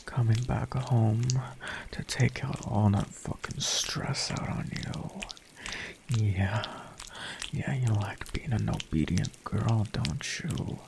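Slow whispering gravelly-voiced man. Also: lowish voice. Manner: dominant, husky whispering with moans. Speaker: erotic audio performer. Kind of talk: whispered erotic roleplay monologue.

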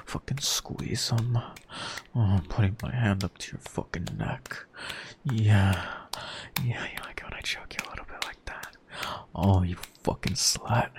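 A man performing a whispered erotic roleplay monologue in a dominant, husky manner with moans. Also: sits low.

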